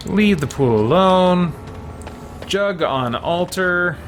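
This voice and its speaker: A man's voice: sits high, expressive, slow, background noise. A man livestreaming a game in a casual way, musing aloud as he chats and reads game text. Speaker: game streamer.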